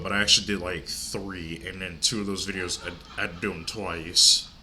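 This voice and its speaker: Older guy w a stuffed up nose, deep voice, kinda rambly movie channel chat. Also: sharp tone, slight background noise. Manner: rambling, chatty. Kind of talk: casual vlog chat.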